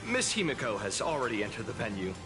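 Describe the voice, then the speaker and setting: A man's voice: on the low side, very animated, quick pace, music in the background. A man voicing a gracious, courteous venue greeter in a formal, theatrical anime game style. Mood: courteous, welcoming.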